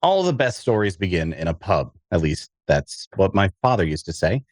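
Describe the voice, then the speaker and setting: Man reading as a low, lively wry adventurer. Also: normal speed, no echo, clean studio sound. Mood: wry, reflective. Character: wry, observant male adventurer telling his own story. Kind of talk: first-person fantasy audio drama narration, table read.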